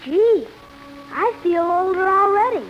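A tiny, very high-pitched little boy, earnest and wide-eyed as the newborn year. The old radio play sound is muffled, with music clearly playing behind the childlike voice. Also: lively intonation, unhurried. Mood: earnest, curious. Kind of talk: 1950s radio drama acting. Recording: dull vintage radio broadcast, music behind.

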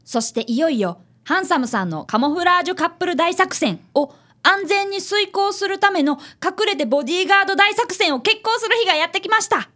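Woman as schoolboy detective's apprentice: high, animated, polite, eager, radio comedy. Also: brisk, few pauses, full character voice. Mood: earnest narration. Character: earnest, polite fifth-grade boy, apprentice detective. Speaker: actor and narrator in a community radio drama. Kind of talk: Japanese comic radio drama acting.

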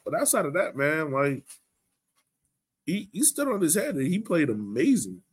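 A man's voice: on the high side, very animated, moderate pace, breathy edge. Casual man hosting a sports podcast. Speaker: podcast host.

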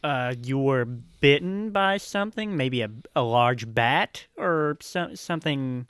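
A man's voice: medium pitch, animated, slow pace, fairly bright tone, clean tone, dry recording, studio quality. A man playing a tabletop role-playing game, improvising casually in a matter-of-fact manner.

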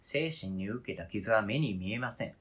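A man's voice: lowish voice, expressive, moderate pace, breathy edge. A man acting in a radio drama reading as a wounded, indignant adult who argues with cold, pedantic logic and interrogates relentlessly. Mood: stern, interrogating. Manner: pedantic, interrogating, formal argumentative phrasing.

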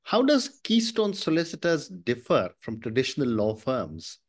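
A man hosting an interview podcast, speaking politely with formal introductions and frequent soft acknowledgments. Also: medium pitch, wildly swooping pitch, normal speed, slightly rough. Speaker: podcast host.

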